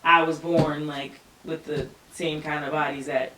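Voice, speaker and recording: A young woman co-hosting a podcast, speaking in a laid-back, fairly monotone way that trails off. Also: low voice, measured pace, recorded from a distance, slight background noise. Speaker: podcast co-host. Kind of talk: casual chat. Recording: roomy and off-mic.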